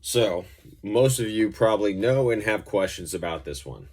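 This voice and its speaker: Guy with a low voice doing a laid back gear demo, kinda rambly and informal. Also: lively intonation, moderate pace, darkish tone, a little hiss or hum. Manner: rambling, informal, loosely explanatory. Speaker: pedal reviewer and musician. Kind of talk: casual gear demonstration talk.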